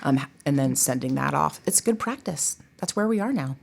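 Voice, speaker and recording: A woman's voice: sits low, expressive, a little fast, vocal fry. A woman talking casually as an interview guest, chatty and emphatic, with plenty of conversational asides. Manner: chatty, emphatic, peppers talk with "you know" and "like". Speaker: theater professor and director, interview guest.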